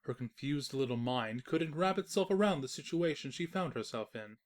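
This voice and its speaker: A man playing a snarky, defensive invisible narrator of a comic pony story, reading in a wry, expressive storytelling manner. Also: medium pitch, moderate pace, close to an everyday voice. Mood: narrating. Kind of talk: scripted narration of comic fan fiction.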